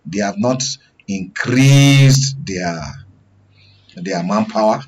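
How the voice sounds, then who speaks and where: A man's voice: lowish voice, animated, very slow, husky. A man with a Nigerian accent giving current affairs analysis as a studio guest, explaining by posing questions and answering them. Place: radio and TV studio. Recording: dull, lo-fi broadcast sound.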